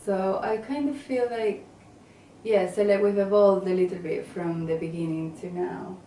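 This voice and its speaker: A woman's voice: medium pitch, steady tone, normal speed, dark tone. A woman who grew up in England and Venezuela, talking about her design work as an interview guest, hesitant and full of fillers and restarts. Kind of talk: casual interview answers. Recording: echoey room, mic at a distance, faint noise.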